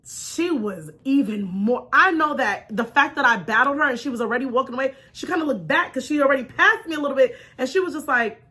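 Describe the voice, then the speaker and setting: A woman talk show host telling a personal story in an expressive, chatty, informal way, acting out bits of dialogue and checking in with her listeners. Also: high voice, fairly quick, few pauses. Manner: punctuates points with check-in questions like "right?". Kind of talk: animated personal storytelling to an online audience.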